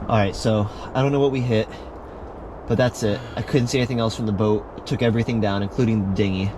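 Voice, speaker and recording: A man's voice: fairly even intonation, fast. A man improvising in a homemade survival drama, talking in a practical, conversational and slightly hesitant way. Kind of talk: improvised survival role-play, plain conversational talk. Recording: loud boat engine and water noise. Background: boat, water and vehicle rumble.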